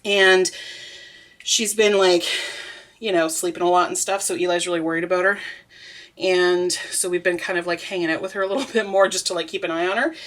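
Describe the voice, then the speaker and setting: A woman's voice: lowish voice, steady tone, brisk, fairly bright tone. A young woman making soap and chatting through it, with a stuffy, sniffly nose, laughing often and rambling with lots of fillers. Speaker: soap maker. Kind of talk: casual vlog narration while working.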